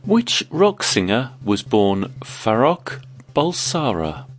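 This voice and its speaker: A man with a cold and a croaky voice, hosting a quiz and reading out the questions clearly. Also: medium pitch, very animated, slow pace, faint music behind. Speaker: quiz host.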